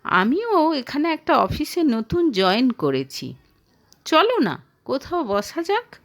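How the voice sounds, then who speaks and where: A woman's voice: high voice, huge pitch swings, slow, full character voice. A woman voicing a wistful, teasing young man, a former lover in an audio story, with a probing manner. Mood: friendly.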